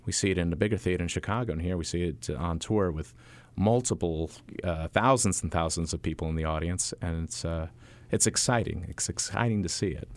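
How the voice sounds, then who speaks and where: A deep-voiced man talks through his work as a stage actor in an interview. He pauses often, filling gaps with fillers and restarting sentences. The sound is dry and close, with no room around it. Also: no room sound. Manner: halting, many fillers and restarts. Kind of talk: interview answers.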